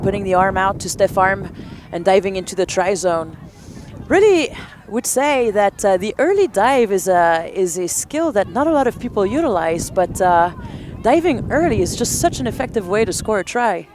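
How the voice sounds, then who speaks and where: A woman's voice: mid-range voice, animated, moderate pace, darkish tone. A woman commentating on a rugby match, analytical and chatty, with many filler words. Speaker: color commentator. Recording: outdoor noise behind the voice.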